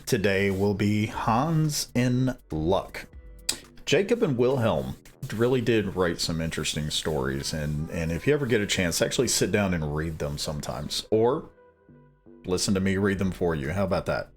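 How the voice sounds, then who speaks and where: A man reading a fairy tale aloud as a storyteller, after relaxed, rambling chat. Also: deep voice, moderate pace. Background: soft background music.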